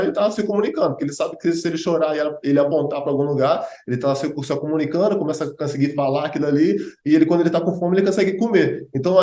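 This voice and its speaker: Brazilian male host-teacher, quick and talkative, slightly high voice, roomy sound. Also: dark tone. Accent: Brazilian Portuguese. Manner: chatty, full of fillers and asides. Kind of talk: casual explanatory chat.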